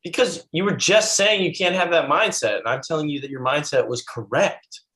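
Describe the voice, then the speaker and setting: A man's voice: on the high side, normal speed, fairly bright tone, lightly acted. A man playing a blunt, aggrieved buddy in his twenties in a comedy script table read.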